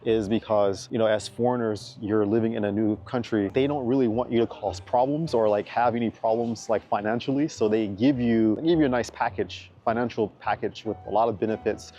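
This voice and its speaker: A man's voice: lowish voice, steady tone, fairly quick, hardly any pauses, mellow, dull audio, slight background noise. A man in his thirties from the United States, an English teacher talking casually to camera, chatty and repeating words for emphasis. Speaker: vlogger.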